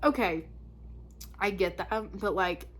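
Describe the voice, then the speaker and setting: A woman's voice: mid-range voice, slow pace, a bit bright, slight background noise. A woman chatting casually and reading spooky listener stories in an informal, conversational way, sounding a little stuffed up. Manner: informal, conversational, slangy asides. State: congested, stuffy nose. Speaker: host of a spooky story channel.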